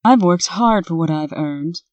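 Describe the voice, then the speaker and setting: A woman's voice: fairly low pitch, animated, measured pace, a little mellow, dry recording, lo-fi sound. A woman playing a stern, bossy, no-nonsense administrator in a comic dub, curt and commanding. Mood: stern, brisk. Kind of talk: amateur comic dub acting.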